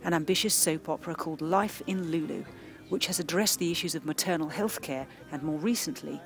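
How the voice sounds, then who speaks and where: A woman's voice: low voice, fairly quick. British woman narrating a documentary. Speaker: radio drama trainer.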